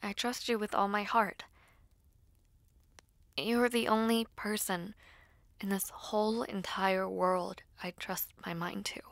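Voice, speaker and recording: Woman doing a nervous teen witchy girl, slow n hesitant, bright voice, talking right to you, audio roleplay. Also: medium pitch, fairly even intonation, gravelly, no echo. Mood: hesitant, trusting. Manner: hesitant, halting, soft-spoken asides. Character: earnest, nervous school-age girl dabbling in ritual spells. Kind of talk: intimate audio roleplay, acted to the listener.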